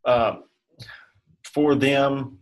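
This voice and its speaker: A man giving informal explanations as an interview guest, in hesitant, halting phrases. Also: medium pitch, fairly even intonation. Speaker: guest health insurance specialist.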